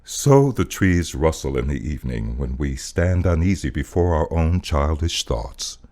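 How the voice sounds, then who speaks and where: A male voice-over artist giving a solemn, dramatic reading of poetic prose, with deliberate and theatrical emphasis. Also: very deep voice, lively intonation, darkish tone. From United States.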